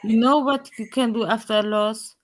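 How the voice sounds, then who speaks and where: Woman, German accent, fan-show co-host, blunt and forceful. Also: fairly high pitch, fairly even intonation, moderate pace. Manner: blunt, emphatic, swears freely. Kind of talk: casual football fan chat.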